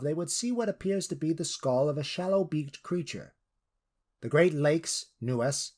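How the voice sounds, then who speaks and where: A man narrating a scripted fantasy tale in a theatrical manner with deliberate phrasing. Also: fairly high pitch, darkish tone. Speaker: narrator and creator of the story world.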